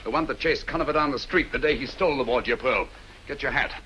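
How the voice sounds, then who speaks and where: An actor playing a brilliant, commanding British detective with a crisp, emphatic, dryly witty delivery in an old-fashioned drama style. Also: male voice, mid-range voice, fast, sharp tone, lo-fi sound, slight background noise, natural voice. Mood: keen, brisk. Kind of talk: old radio and film drama acting.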